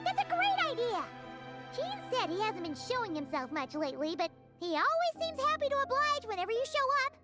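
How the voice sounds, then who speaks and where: A woman's voice: extremely high pitch, very animated, a little fast. A woman voicing a tiny, chirpy fairy companion girl who talks about herself in the third person, in video game dialogue. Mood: curious. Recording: game music loud underneath. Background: game music.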